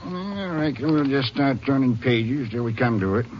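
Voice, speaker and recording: A male actor plays a chatty small-town druggist in vintage radio drama. His folksy muttering rises and falls in lively swoops at a middle pitch. It comes through a muffled, noisy old recording. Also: moderate pace, natural voice. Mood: folksy, bustling.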